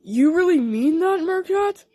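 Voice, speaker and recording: A woman voicing an astonished, bashful male cartoon cat in an audio play, speaking haltingly. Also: very high-pitched, animated, dark tone, no echo.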